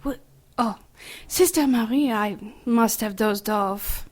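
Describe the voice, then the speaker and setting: A woman's voice: a little high, takes it slowly. A woman playing a cynical, callous nun in an audio drama, with a blunt, sarcastic and grumbling manner. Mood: flippant.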